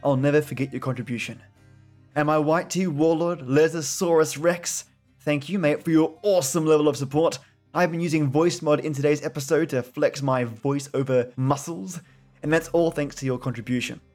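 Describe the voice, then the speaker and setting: A man's voice: a little high, moderate pace, a little mellow, soft background music. A man hosting a story podcast, signing off in a chatty, grateful manner as he thanks his supporters. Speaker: podcast host. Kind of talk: casual podcast sign-off.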